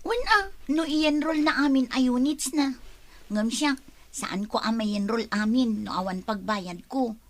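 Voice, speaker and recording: Young village woman, acted by a woman, fairly high voice, resolute with a plaintive edge, radio-style drama. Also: measured pace, lightly acted. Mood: plaintive, determined. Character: determined young village woman wanting work and school. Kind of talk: dialogue in an amateur audio drama.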